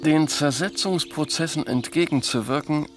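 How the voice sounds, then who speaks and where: An actor playing a wary, controlling, reclusive arms manufacturer, speaking formally with measured self-satisfaction in a radio play. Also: male voice, a little high, over music, close to an everyday voice. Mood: smug, guarded.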